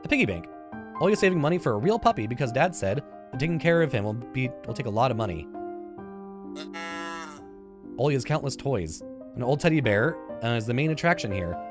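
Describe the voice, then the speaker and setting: Male let's player, 30s, animated narration of a story game with loose asides, music underneath. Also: mid-range voice, fast. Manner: informal, stumbles and rereads words. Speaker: gaming YouTuber, let's player. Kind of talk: reading visual novel narration aloud with casual comments. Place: indoor room, seated at a computer.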